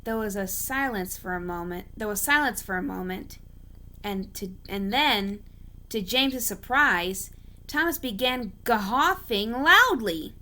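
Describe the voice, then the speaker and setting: A woman's voice: medium pitch, lively intonation, unhurried, pushed and bright. A woman reading a story aloud as an amateur narrator, often stumbling and rereading phrases.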